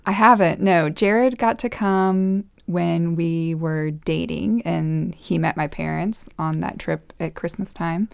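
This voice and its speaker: Low, gravelly-voiced woman guest. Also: slow, hardly any pauses, dry recording, clean studio sound. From Costa Rica. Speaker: podcast guest. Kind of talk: casual conversational interview.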